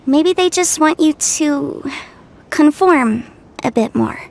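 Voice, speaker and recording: A lively, caring big sister. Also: female voice, sits high, full character voice. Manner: brisk and caring. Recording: game soundtrack behind.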